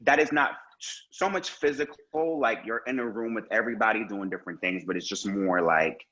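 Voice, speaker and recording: A man's voice: fairly low pitch, a little fast, sharp tone. A man who teaches the arts, talking earnestly as a podcast guest and filling his speech with "you know.". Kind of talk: casual conversation. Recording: dull lo-fi sound.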